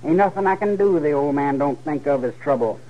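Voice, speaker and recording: An American actor playing a sullen, defiant young cowhand with a curt rustic drawl in a radio Western. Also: male voice, on the high side, lively intonation, a little fast, lightly acted. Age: young adult. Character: sullen, defiant young cowhand chafing under his father. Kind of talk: 1950s radio Western acting. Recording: muffled, faintly noisy old radio recording.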